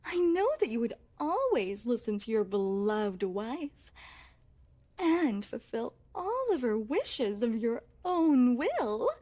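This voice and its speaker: A high, swooping voice for a yandere princess, acted by a woman in an ASMR roleplay. She speaks slowly in regal, formal phrases, cooing sweetly at her beloved. Underneath the coyness she is possessive and menacing. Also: warm tone, heavily acted. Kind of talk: ASMR roleplay, scripted monologue to the listener.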